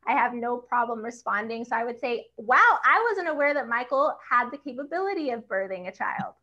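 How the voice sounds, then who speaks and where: A young woman author with a high voice, speaking outspokenly and laughing often in a book event conversation. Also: lively intonation, a little fast, pushed and bright, highs cut off.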